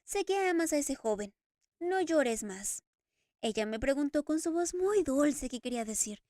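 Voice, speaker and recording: Storybook figure: tearful masked man, fervent and stammering, pitched high and dry, put on by a woman reader. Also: lively intonation, unhurried, darkish tone, dry recording. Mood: mournful. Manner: impassioned, stammering, sobbing outbursts. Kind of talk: audiobook character reading.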